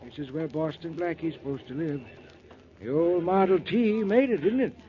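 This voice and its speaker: An actor with an American accent playing a folksy, eager husband in a 1940s radio drama. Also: male voice, a little high, normal speed, close to an everyday voice. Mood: eager, determined. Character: folksy, eager husband driving an old Model T. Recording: muffled 1940s radio recording.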